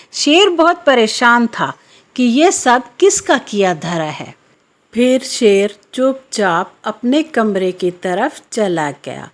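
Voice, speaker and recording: A woman narrating a scripted children's story in a measured, expository way. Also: medium pitch. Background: background music.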